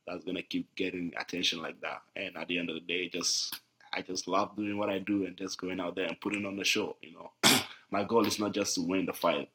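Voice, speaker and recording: Young ghana-born guy, low voice, bright tone, relaxed podcast guest. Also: low-quality recording. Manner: easygoing, many fillers, frequent laughs. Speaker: guest, jiu-jitsu athlete. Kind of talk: casual podcast interview.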